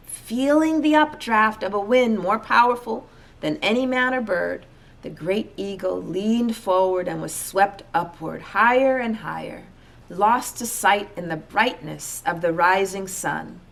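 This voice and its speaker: A woman reading a children's storybook aloud as an expressive narrator. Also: mid-range voice, vocal fry. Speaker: storybook reader.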